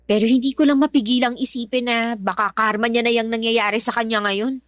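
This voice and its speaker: An actress playing a frank, resentful daughter in a Filipino radio drama, exasperated and speaking with a Filipino accent. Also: female voice, a little high, steady tone, fairly quick, a bit bright, close to an everyday voice. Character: resentful young daughter, outspoken but respectful to mother.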